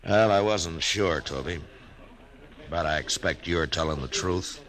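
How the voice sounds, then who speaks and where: A man playing a steady, laconic frontier marshal in an old radio western, slow and hesitant with many pauses. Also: deep voice. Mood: probing. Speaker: narrator and lead character. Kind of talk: 1950s radio western acting.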